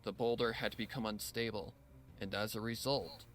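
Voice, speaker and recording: A man's voice: lowish voice, fairly even intonation, moderate pace, a bit bright, slight background noise. A man reading a scripted story as narrator, with a solemn, dramatic delivery. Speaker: narrator reading fan fiction.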